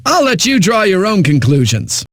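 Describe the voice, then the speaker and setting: Cocky male game radio DJ, high-pitched, animated, flippant, punchy eighties music patter. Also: no echo, clean studio sound, full character voice. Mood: smug, hyped. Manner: smug, punchy announcer delivery with dramatic pauses. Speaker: radio DJ, host.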